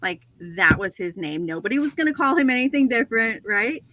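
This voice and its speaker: Woman in her 40s, chatty livestream host, quick to laugh, faint hiss behind. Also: on the high side, sing-song. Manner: chatty, self-deprecating, laughs often. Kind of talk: casual chat with lots of laughing.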